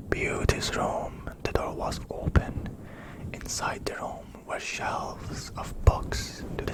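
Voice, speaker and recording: A storyteller narrating a fairy tale in a soft, unhurried ASMR whisper. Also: low-quality recording.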